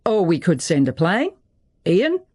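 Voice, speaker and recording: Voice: mid-range voice, huge pitch swings, measured pace, mellow, slightly rough, heavily acted. A narrator voicing a sardonic, blustering male political operator with a flippant, sarcastic manner.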